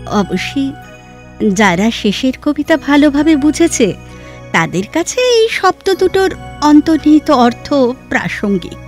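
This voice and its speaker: A woman playing a reserved, bookish, introspective woman in an audio drama, speaking in a measured, hesitant and expressive way. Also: high voice. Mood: composed, earnest. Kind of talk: Bengali audio drama dialogue. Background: background music.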